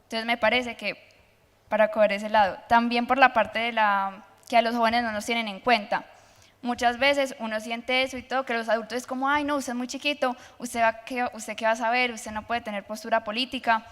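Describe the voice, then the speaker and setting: A woman's voice: a little high, brisk. Passionate teenage girl arguing at forum. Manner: passionate, animated, argumentative. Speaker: panelist. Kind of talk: public forum remarks. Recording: long hall reverb.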